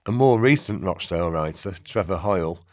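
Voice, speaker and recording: A man's voice: low-pitched, animated, a little fast, darkish tone, no echo. A man narrating a scripted, documentary-style radio play.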